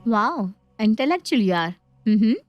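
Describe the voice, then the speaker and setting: A woman's voice: fairly high pitch, expressive, a little slow, dry recording, highs cut off, faint music behind. Young male office worker, grumbly yet smitten, slangy storybook dialogue, played by a woman. Dry, close sound. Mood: curious, smitten. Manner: casual Hinglish slang, grumbling or musing to himself.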